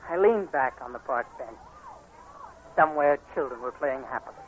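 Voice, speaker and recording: A man's voice: medium pitch, animated, normal speed, close to an everyday voice. An actor playing an earnest, anxious blind young man in a 1940s radio drama, with dramatic, intense delivery. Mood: tense narration. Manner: dramatic, intense, emotionally urgent. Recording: tinny, noisy 1940s radio recording.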